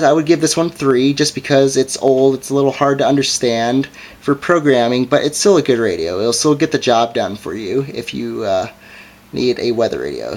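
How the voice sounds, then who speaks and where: A man giving a casual hands-on product review as its presenter, in an informal, hesitant and somewhat apologetic way. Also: mid-range voice.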